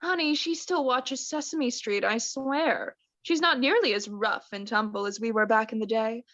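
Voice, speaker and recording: A woman's voice: fairly high pitch, moderate pace, a bit bright, no room sound, lightly acted. A young woman playing an oblivious, doting mother with an arch, theatrical manner in a radio play. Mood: doting, fretful. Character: doting, oblivious mother, affectedly genteel wife. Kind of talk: student radio play acting. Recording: dull, muffled lo-fi home recording.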